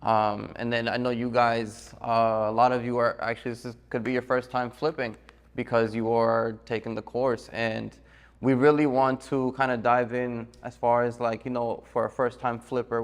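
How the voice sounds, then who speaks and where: Male course host, mid-pitched, conversational interviewer, long echoey reverb. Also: little pitch movement, moderate pace.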